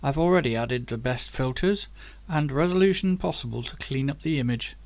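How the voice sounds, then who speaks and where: A man's voice: medium pitch, brisk, darkish tone, lightly acted. A man voicing a helpful computer professor in an audiobook, giving information in a matter-of-fact way. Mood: helpful, factual. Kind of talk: audiobook character dialogue.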